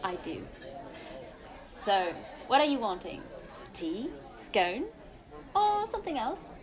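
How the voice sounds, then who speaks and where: An actress plays a cheery tea shop woman in an audio drama. Her voice sits fairly high and she coaxes and fusses with chatty familiarity, not taking no for an answer. Faint chatter of other people sits behind her. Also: wildly swooping pitch, takes it slowly, exaggerated voice. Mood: cheery, insistent. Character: pushy, cheery tea shop woman fussing over customers. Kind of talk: audio play acting.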